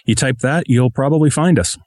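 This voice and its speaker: A man's voice: mid-range voice, brisk, darkish tone, no echo, clean studio sound. A man speaking casually as an interview guest, with a modest, self-deprecating manner. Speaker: podcast host interviewed as guest.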